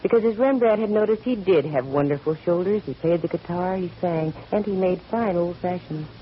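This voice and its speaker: An actress playing a sharp-witted, wisecracking female private investigator in a 1940s radio detective drama, narrating with breezy wryness. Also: on the low side, a little fast, muffled recording, slight background noise, close to an everyday voice. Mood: wry, wistful. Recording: vintage radio recording with faint music.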